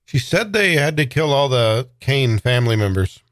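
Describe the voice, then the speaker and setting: A man's voice: mid-range voice, very animated, normal speed, a bit bright, no room sound, studio quality. A man playing his character in a tabletop role-play game, talking plainly and a little unsure. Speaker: player.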